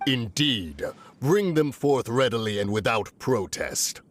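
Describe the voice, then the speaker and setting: A man's voice: medium pitch, sing-song, slow, slight background noise. A man voicing an absurdly formal bandit in a video game, with stilted, archaic, pompous phrasing. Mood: smug, menacing. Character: low-voiced theatrical bandit, ponderous and absurdly formal.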